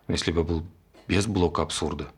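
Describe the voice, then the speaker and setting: A man's voice: low voice, steady tone, fast talker, husky. An actor playing a man who earnestly explains at length that he is a robot. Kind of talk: stage play acting.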